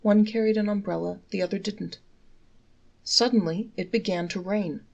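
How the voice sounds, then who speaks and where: A woman reading folktales aloud in a measured, articulate storybook narration. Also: mid-range voice, steady tone, creaky, a little mellow, lo-fi sound.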